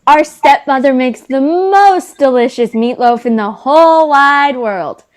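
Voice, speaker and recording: A woman's voice: high voice, expressive, unhurried. A woman playing an earnest, probing storybook character in a readers theater reading, speaking plainly.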